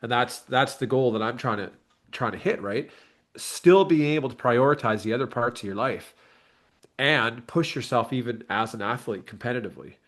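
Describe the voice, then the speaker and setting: Man, late thirties, medium pitch, candid and earnest, informal interview guest. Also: normal speed, fairly bright tone. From Canada. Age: late 30s. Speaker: bodybuilder and online coach, interview guest. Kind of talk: casual interview chat.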